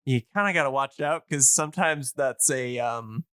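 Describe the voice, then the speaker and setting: A man's voice: medium pitch, normal speed, bright, forceful tone, smooth, dry recording, clean studio sound. A man hosting a podcast, explaining things casually in a rambling, hesitant but enthusiastic way. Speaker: podcast host.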